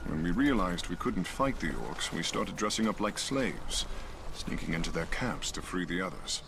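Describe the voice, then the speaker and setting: An actor playing a wry rebel deserter in a fantasy game, with a conversational delivery. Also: male voice, sits low, expressive, normal speed. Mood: reflective, wry. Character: wry deserter leading outcast rebels, devoted husband. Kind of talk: video game cutscene acting. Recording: clear background ambience noise.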